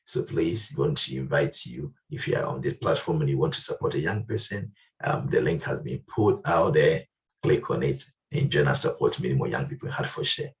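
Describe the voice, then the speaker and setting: A man with an extremely deep, gravelly voice gives a halting charity talk over a video call. He sounds set back from his microphone. His speech is peppered with ums and you-knows. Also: recorded from a distance. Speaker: charity presenter. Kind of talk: online presentation.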